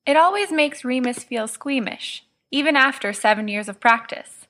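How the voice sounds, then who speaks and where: A woman narrates a story in a bright, crisp-edged tone. Her voice sits a little high, and she reads from a script as an audiobook narrator. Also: lively intonation, normal speed. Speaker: audiobook reader.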